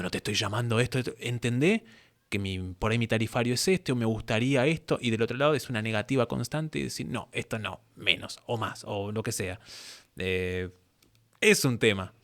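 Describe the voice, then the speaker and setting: A man's voice: medium pitch, fairly bright tone. Argentine man hosting a casual interview. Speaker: interview host.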